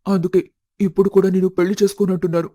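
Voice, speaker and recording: A man acts a reluctant young man in an animated moral story. He sounds earnest and protesting, in a high but darkly mellow voice. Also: quick pace. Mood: confessing. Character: reluctant young man hiding his love.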